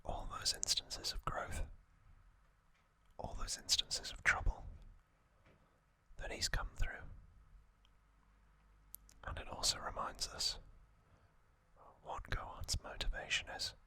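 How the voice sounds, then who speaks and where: A man whispering an ASMR trivia recap in a breathy, unvoiced voice, gently and in short, drawn-out phrases. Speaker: ASMR host.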